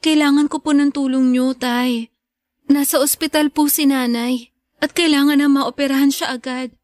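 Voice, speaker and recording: Actress as an earnest, high-voiced young daughter. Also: female voice, steady tone, moderate pace, darkish tone, no echo, lightly acted. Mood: anxious, pleading. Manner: earnest, respectful, pleading. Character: hurt, resolute young daughter standing up for her mother. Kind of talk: radio drama acting.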